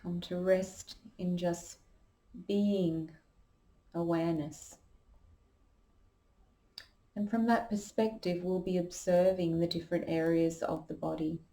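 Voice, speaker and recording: A woman leading a guided meditation as a yoga teacher, calm and unhurried, giving gentle instructions. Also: fairly low pitch, rough recording.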